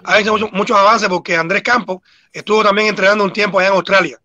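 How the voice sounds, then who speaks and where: A Cuban boxing coach with a Cuban accent, talking earnestly and repeating himself as a guest on a video-call panel. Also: male voice, high-pitched, fairly even intonation, rapid-fire, pushed and bright, no echo, muffled recording. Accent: Cuban Spanish. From Cuba.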